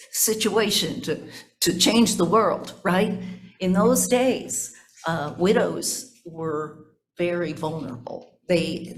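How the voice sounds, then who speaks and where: Woman pastor preaching with emphatic stresses. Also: on the low side. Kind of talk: sermon, explaining scripture.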